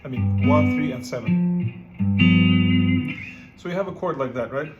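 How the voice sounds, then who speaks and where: A man's voice: on the high side, animated, unhurried, highs cut off. A man from Ukraine, teaching a casual guitar lesson and often checking in with "right?" between filler words. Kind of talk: casual music lesson with playing. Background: guitar playing.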